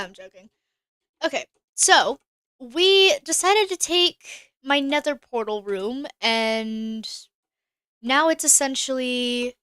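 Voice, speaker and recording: Bright, chatty woman streaming a game. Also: a little high, clear, resonant tone, no room sound. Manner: chatty, thinks aloud, answers chat. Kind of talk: casual live-stream gameplay commentary.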